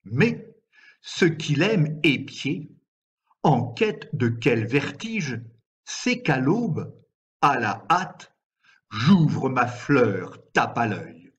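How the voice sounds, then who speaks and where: A man reciting poetry in a measured, deliberate way, with frequent pauses between phrases. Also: mid-range voice, big pitch swings, slightly rough. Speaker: poet reading own work. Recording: slightly dull, lo-fi recording.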